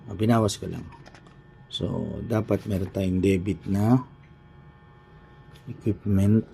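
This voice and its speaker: A man's voice: low-pitched, fairly even intonation, slow pace, vocal fry, warm tone, a little hiss or hum. A man with a Filipino accent teaching an informal accounting lesson, thinking aloud step by step and repeating himself as he works through an example.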